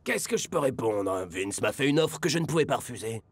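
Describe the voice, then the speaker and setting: A man voicing a slick, greedy celebrity music producer in a cartoon, a raspy, laid-back showman. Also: medium pitch, very animated, fairly quick, dry recording. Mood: unbothered. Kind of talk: animated cartoon dubbing. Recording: music underneath.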